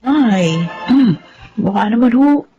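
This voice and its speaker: High-pitched, animated Filipino older sister. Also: female voice, slow pace, highs cut off, over music. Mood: wheedling. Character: pleading, touchy older sister, easily offended. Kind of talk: Filipino radio drama acting.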